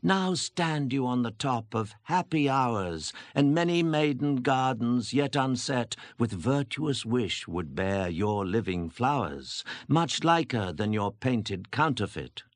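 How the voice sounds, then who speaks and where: A man recites classic verse expressively, his pitch moving widely around a middle male register. He keeps a slow pace, and the recording is dry. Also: lively intonation, dry recording. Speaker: poetry reader.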